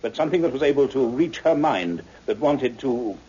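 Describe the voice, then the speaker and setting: An actor playing a kindly, authoritative older scientist with a deliberate, earnest delivery in a 1960s radio drama. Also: male voice, mid-range voice, animated, warm tone, husky. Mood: intent, commanding. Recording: muffled old radio recording, faint noise.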